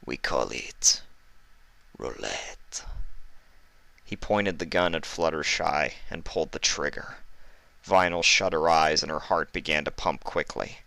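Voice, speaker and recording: A man's voice: extremely low pitch, fairly even intonation, moderate pace, creaky, pushed and bright, dry recording. A man reading fan fiction aloud as a dramatic story narrator.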